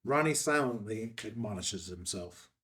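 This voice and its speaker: A man's voice: fairly low pitch, lively intonation, moderate pace, a bit bright, far from the mic. A man reading stage directions as narrator at a screenplay table read.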